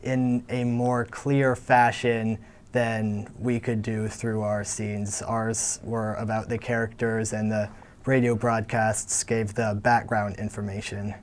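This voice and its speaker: A teenage male student actor answering interview questions in a measured, explanatory way. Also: on the low side, level tone.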